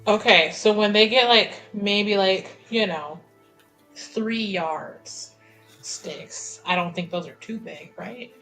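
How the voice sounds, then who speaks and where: A woman talks casually as a player in a tabletop game. Her voice is bright and mid-pitched, with an unhurried, slow pace and faint music underneath.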